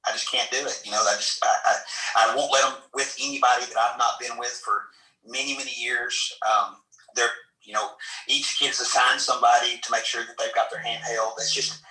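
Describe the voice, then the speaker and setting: Guy sharing his story, halting and repeating himself, far from the mic, roomy echo. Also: mid-range voice, fairly even intonation, pushed and bright. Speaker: guest speaker in audio room. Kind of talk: live audio room chat.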